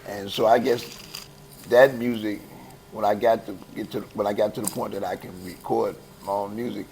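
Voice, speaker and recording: An older man from Chicago with a heavy Southern-sounding accent, a gospel choir founder telling stories in a relaxed, laughing, folksy way. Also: on the low side, normal speed, creaky, a little hiss or hum, poor audio. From Chicago, West Side. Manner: easygoing, laughing, folksy storytelling. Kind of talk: relaxed interview conversation.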